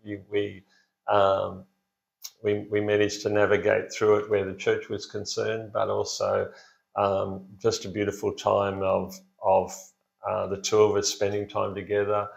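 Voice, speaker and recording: Gravelly middle-aged man, hesitant. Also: lowish voice, monotone, measured pace. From Blue Mountains, New South Wales, Australia. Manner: hesitant, many fillers and restarts, laughs easily. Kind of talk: casual interview chat. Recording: echoey, dull lo-fi room sound.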